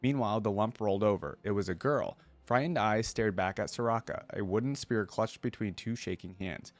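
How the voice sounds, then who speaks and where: A man hosting a reaction video, reading lore aloud and adding casual, rambling commentary as he thinks out loud. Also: fairly low pitch, fairly quick, dry recording.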